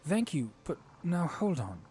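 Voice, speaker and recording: Man acting an earnest, higher-voiced knight hero. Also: sing-song, measured pace, dark tone, slightly rough, heavily acted. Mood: arguing, puzzled. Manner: earnest, questioning. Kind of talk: fantasy audio drama acting.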